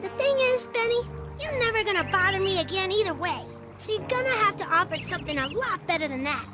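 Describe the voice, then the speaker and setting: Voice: very high-pitched, animated, quick pace. A performer voicing a small, short-tempered, scheming bully boy in a cartoon video game. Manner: snappish, scheming. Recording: loud game music behind.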